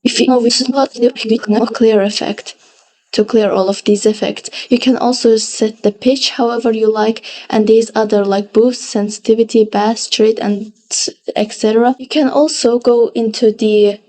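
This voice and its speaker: Higher-voiced woman giving a software tutorial. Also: steady tone, moderate pace, low-quality recording. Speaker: tutorial presenter. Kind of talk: casual software tutorial narration.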